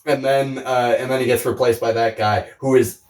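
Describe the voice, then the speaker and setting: Male podcast co-host, average pitch, rambling and sweary casual film chat, roomy sound at a distance from the mic. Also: steady tone, normal speed, darkish tone, a little hiss or hum. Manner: profane, rambling storytelling with mimicked reactions. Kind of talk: casual chat about films. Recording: roomy, echoey, away from the mic.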